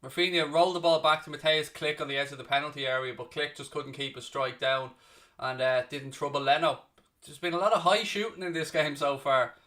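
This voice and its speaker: A man's voice: a little high, fairly even intonation, fairly quick, sharp tone. A young man with a Dublin Irish accent hosting a live football watchalong, mixing play-by-play calls with blunt, chatty answers to viewers. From Ireland. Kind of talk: casual live match commentary and chat with viewers.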